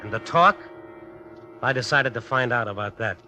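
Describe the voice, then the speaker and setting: A man's voice: medium pitch, expressive, moderate pace, natural voice. An actor playing a hot-headed drifter who is coming unraveled, telling his story in intense, colloquial 1950s radio suspense style. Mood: haunted, tense. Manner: colloquial, intense, obsessive repetition. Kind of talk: 1950s radio drama acting, first-person suspense narration. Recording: 1950s radio recording with music behind.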